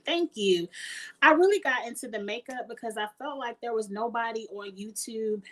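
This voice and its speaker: Chatty high-voiced woman vlogger. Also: moderate pace. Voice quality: high-pitched voice. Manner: chatty, laughs often, earnest and encouraging. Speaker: YouTuber answering live chat. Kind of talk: casual chat with viewers.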